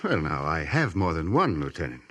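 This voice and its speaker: An actor playing a wry, teasing army doctor with a folksy manner in a 1950s radio drama. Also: male voice, a bass voice, very animated, brisk, a bit bright, exaggerated voice.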